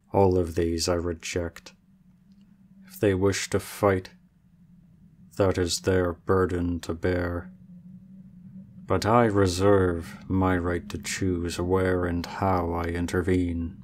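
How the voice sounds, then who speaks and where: A man voicing an ancient, aloof male dragon in human form, speaking in a formal, measured and reserved way for an ASMR roleplay. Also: low voice, a little mellow, a little hiss or hum.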